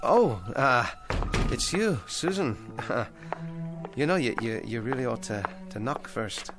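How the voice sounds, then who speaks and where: A struggling ventriloquist in a radio drama, eager to please and forever hopeful. His delivery swings widely in pitch, with hesitant stammers and a placating manner. Music plays behind him. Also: big pitch swings, takes it slowly. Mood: flustered.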